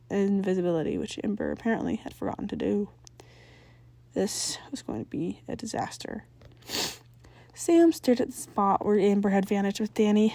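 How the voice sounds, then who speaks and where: Mellow woman reading a story aloud. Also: mid-range voice, dry recording. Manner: stumbles over words and rereads them. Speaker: amateur fan-fiction reader. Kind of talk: scripted story narration, read aloud.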